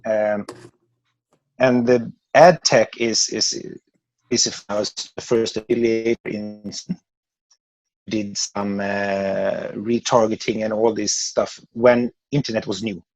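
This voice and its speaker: A man's voice: lowish voice, little pitch movement, slow pace, a little mellow, no room sound, poor audio. A man in his forties from Sweden with a Swedish accent, a podcast guest talking casually and rambling with many restarts. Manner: rambling, restarts words often. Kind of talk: casual interview chat.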